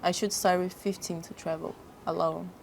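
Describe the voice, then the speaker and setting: A young woman from Brazil with a Brazilian Portuguese accent, speaking English as a second language, chatting as a podcast guest with halting phrasing. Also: on the low side, level tone, gravelly, slight background noise. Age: young adult. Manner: halting non-native phrasing, frequent laughs. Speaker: guest model. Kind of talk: casual interview chat.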